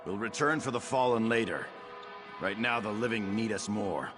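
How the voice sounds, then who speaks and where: Male actor, young noble son in a game, deep voice, halting, apologetic, earnest, music behind. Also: natural voice. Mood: rallying. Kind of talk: scripted video game dialogue.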